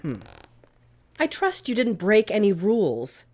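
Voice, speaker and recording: Commanding female spy chief, very lively intonation, mid pitch, exacting and sardonic, audio drama. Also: unhurried. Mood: coolly stern. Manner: authoritative, clipped, sardonic. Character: stern, exacting female intelligence agency director, skeptical.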